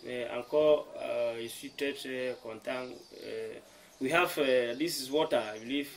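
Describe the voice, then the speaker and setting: A man from Cameroon with a Cameroonian accent, English his first language, giving a halting welcome speech with many fillers, switching between French and English. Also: medium pitch, slight background noise. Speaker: host of the gathering. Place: room in a private home.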